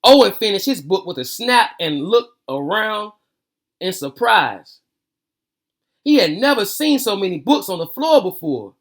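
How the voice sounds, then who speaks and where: An American man with a notably high voice hosts a children's read-aloud. His delivery is casual storytelling mixed with informal chat, full of everyday slang aimed at young listeners. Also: big pitch swings, a little slow, a bit bright, echoey room, distant mic.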